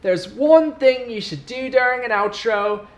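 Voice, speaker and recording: Man putting on a loud, high-pitched heckler. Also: animated, unhurried, a little hiss or hum. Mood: scolding, commanding. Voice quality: unsteady, shifting put-on accent. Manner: hectoring, emphatic, bossy. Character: loud, scolding blanket-wrapped heckler who calls everyone laddie.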